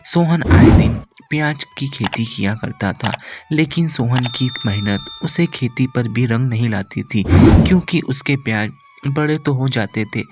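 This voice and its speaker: A man's voice: mid-range voice, vocal fry. A man narrating a scripted village moral story as its storyteller.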